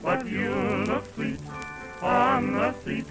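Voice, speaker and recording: A woman's voice: on the high side, steady tone, slow pace, fairly bright tone, faint music behind, poor audio. A woman singing an old waltz song in 1940s radio style with an orchestra.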